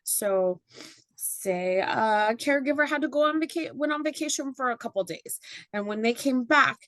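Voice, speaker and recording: A slightly hoarse woman facilitating a parenting workshop, speaking chattily with fillers and frequent "right?" tags. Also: sits high, normal speed, fairly bright tone, no room sound. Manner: chatty, with many fillers and tag questions. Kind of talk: informal workshop presentation. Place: meeting room with live audience.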